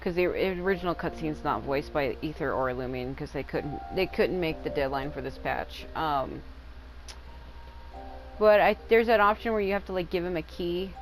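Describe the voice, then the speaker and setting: A woman's voice: low-pitched, normal speed, low-quality recording. A woman giving casual, informal commentary as she plays a video game. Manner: informal, swears freely. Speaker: gaming video creator. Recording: game music behind the voice.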